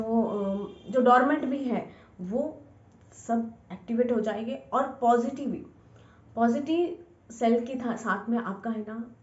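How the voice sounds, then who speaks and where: A woman's voice: on the high side, darkish tone. A woman speaks as an instructor, coaching the listener directly in an informal way. She often repeats a phrase and drops in English words. The recording is dull and lo-fi, with plenty of room echo. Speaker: instructor, scientist. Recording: echoey room, dull lo-fi sound.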